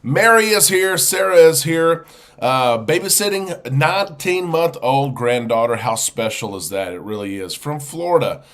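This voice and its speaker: A man's voice: fairly high pitch, animated, moderate pace, a bit bright. A man hosting a live show, chatting casually and greeting viewers one by one, congested and sniffly from a chest cold. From United States. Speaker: host.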